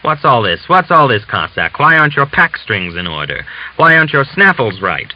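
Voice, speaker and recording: A man's voice: mid-range voice, very animated, normal speed, pitched above the performer's own voice. A man voicing an angry, haughty military commissary in a storybook reading, barking indignant questions. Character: angry, fastidious military commissary, haughty officer bullying a recruit. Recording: dull hissy lo-fi audiobook recording.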